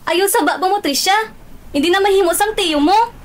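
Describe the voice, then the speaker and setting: Woman as a high-pitched, dismissive scolding mother, student radio play. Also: moderate pace. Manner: scolding, brushing off complaints. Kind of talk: student radio drama acting.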